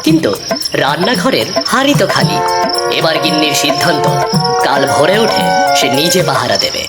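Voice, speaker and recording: A woman's voice: low-pitched, very animated, a little fast. A woman narrating a scripted comic cartoon story, with music behind the voice.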